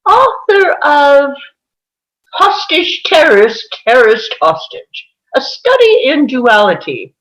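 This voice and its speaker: Role: a woman reading a TV news anchor woman. Style: table read. Pitch: lively. Pace: slow. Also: heavily acted, rough recording. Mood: announcing.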